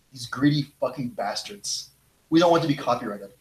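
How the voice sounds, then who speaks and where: A young man co-hosting a live podcast from a home living room on a handheld mic, trading sarcastic deadpan jokes. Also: mid-range voice, unhurried, reverberant, rough recording. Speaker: podcast co-host. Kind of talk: casual chat on a live podcast.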